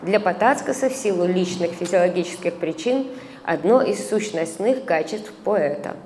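A middle-aged woman reading an academic paper aloud at a conference, measured, with hesitant fillers and recited verse. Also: fairly low pitch, lively intonation, darkish tone. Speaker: conference speaker, translator. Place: conference hall, indoors. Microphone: stand microphone at a lectern. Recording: reverberant hall, faint background noise.

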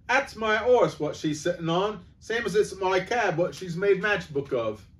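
A red-faced Cockney cab driver pleads in a rough, urgent, raised voice, voiced by a man. Storybook reading, slightly distant mic. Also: high voice, expressive, unhurried, a bit bright, pitched above the performer's own voice. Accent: London Cockney.

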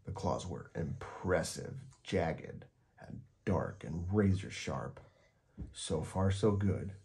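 A man with a very low, gravelly voice reads a children's story aloud. His tone is dark and mellow, and his delivery is expressive and deliberate. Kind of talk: picture book read aloud.

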